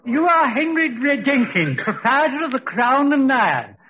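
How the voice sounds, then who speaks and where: An actor playing a fussy, officious prosecutor in a 1940s radio courtroom drama. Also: male voice, very high-pitched, sing-song, measured pace, exaggerated voice. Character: officious, impatient male prosecutor questioning a witness. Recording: tinny vintage radio recording.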